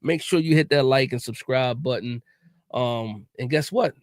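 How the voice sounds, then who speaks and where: A man's voice: medium pitch, normal speed, dry recording, clean studio sound. A man hosting a casual sports livestream, laid-back and chatty, often repeating words and tossing in "man.". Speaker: podcast host.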